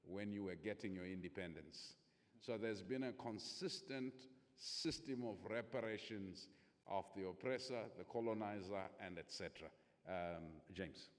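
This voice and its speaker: A man chairing a panel in a large hall, reflective, putting rhetorical questions to the audience. His voice is very low. A long hall reverb trails behind every phrase. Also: a little mellow. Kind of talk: moderating a conference panel.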